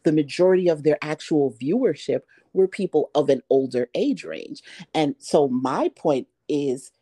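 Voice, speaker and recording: A woman's voice: sits low, animated, measured pace, dark tone, breathy edge. A woman in her thirties co-hosting a casual talk podcast, making her points emphatically with rhetorical questions. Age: 30s. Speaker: podcast co-host. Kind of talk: casual chat.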